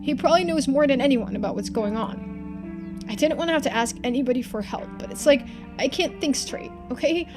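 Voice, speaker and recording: A woman voicing a confused, distressed young boy in a mystery game, halting and pleading as he talks. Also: high voice, over music, natural voice. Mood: desperate.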